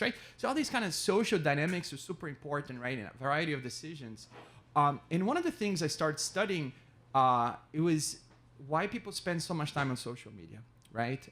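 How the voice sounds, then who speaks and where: A middle-aged man from Brazil, an economics professor and founder, answering interview questions in an animated way, with rhetorical asides and frequent restarts. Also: mid-range voice.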